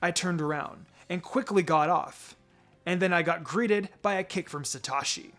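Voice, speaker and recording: A man with a slightly high voice narrates a piece of fan fiction. His delivery is expressive and dramatic, as a scripted story read aloud. Music plays faintly behind him. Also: normal speed. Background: background music.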